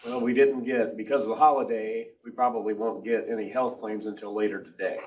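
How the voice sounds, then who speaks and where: Lowish voiced guy reading out claims and amounts at a county meeting, sitting back from the mic. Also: level tone, a little fast, darkish tone. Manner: reads out claims and amounts plainly. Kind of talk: public meeting business. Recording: mic at a distance.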